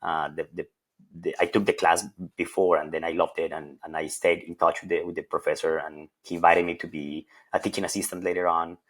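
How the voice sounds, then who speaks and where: Deep-voiced Colombian-raised professor, hesitant. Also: male voice, little pitch movement, a little fast, fairly bright tone. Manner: thoughtful, many hesitations and repeated words. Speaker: associate professor of plant evolution. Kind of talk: interview answers.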